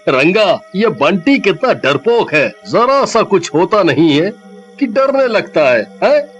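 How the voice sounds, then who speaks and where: A man's voice: high voice, sing-song, slow pace, fairly bright tone, dull audio, soft background music, heavily acted. A man voicing a swaggering, mocking young village thug in a horror storybook tale. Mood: cocky, mocking. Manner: cocky, mocking, laughing. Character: swaggering, leering young male village thug, cowardly when threatened.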